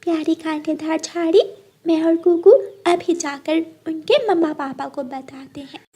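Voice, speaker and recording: A caring little cartoon girl bird, played by a woman, with an extremely high, mellow voice. She speaks sweetly and earnestly. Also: steady tone, unhurried. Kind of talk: Hindi moral cartoon character acting.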